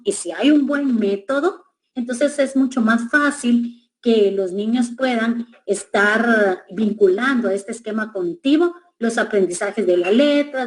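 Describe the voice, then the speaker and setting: A middle-aged woman with a Guatemalan Spanish accent gives an educational presentation in a measured, reflective way, with frequent hesitations. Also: mid-range voice, a little mellow, slight background noise, rough recording. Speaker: education specialist speaker.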